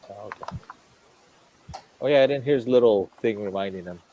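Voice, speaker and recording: Casual male talker, lowish voice, informal group-chat delivery, some background noise. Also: brisk, mellow, low-quality recording. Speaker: drink-and-draw show regular.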